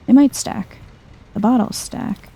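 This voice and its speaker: A soft-spoken woman doing chill, playful ASMR game commentary, often close to a whisper. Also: on the high side, a little slow, a little hiss or hum. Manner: chill, playful muttering to herself. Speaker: gaming video host.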